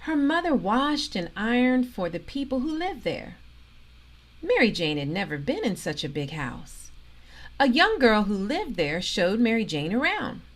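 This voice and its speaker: A woman reading a children's storybook aloud in an expressive, deliberate way, pausing for emphasis. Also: fairly high pitch. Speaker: storybook reader, organisation president.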